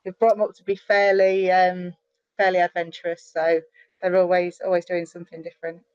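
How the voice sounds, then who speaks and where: A chatty, animated middle-aged woman from the UK talks as a guest over a video call, her sentences tumbling out with restarts and fillers. The sound is close and dry, a little dull and lo-fi. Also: on the low side, a little fast, clear, resonant tone, no echo. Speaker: guest, online marketer. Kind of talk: casual interview chat.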